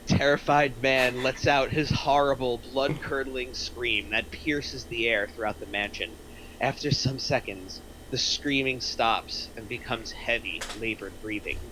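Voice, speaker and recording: A man's voice: medium pitch, sharp tone, slight background noise, rough recording. A man narrating stage directions from a script at an amateur online table reading.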